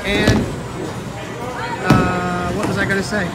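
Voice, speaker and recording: A man vlog host casually explaining things at a bowling alley, relaxed and halting with fillers, filmed on a handheld phone. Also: sits high. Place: busy indoor bowling alley. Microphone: handheld phone camera. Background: loud bowling alley crowd and noise.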